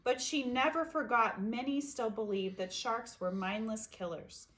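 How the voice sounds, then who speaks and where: A woman reading a children's picture book aloud expressively, with dramatic pauses and emphasis. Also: a little high, fairly even intonation, fairly bright tone. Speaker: storybook reader.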